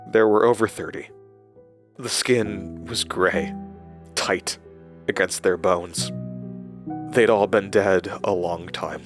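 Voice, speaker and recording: An actor playing a haunted, weary man confiding his supernatural ordeal in a halting horror audio drama monologue. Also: lowish voice. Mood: bleak, haunted. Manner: halting, confessional, dramatic pauses. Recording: music behind the voice.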